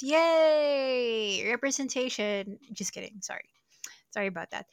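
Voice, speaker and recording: A woman's voice: a little high, fairly bright tone, smooth, no echo, clean studio sound. A young adult woman hosting a true crime podcast, narrating casually with jokey, self-deprecating asides. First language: not Portuguese. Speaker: podcast host.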